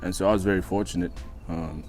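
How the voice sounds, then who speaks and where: Male, 20s, non-native English speaker, very deep register, relaxed interview answers with emphatic repetition, faint music bed. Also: fast talker, vocal fry. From East Africa and Australia. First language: not English, learned it after moving to Australia. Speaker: interview guest, college basketball player. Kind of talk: casual interview, telling own life story. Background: background music.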